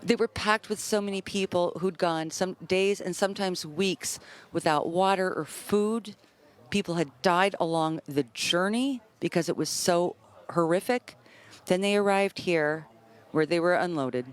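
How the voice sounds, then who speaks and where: A woman with a slightly low voice hosts live coverage. She describes the scene and leads interviews, reacting to her guests with empathy. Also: measured pace. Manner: empathetic, reacting with soft exclamations. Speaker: live broadcast host. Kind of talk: live event commentary and interviewing.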